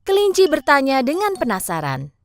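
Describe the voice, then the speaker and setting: A woman narrating a scripted children's fable in an expressive storytelling style. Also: high-pitched, fairly quick.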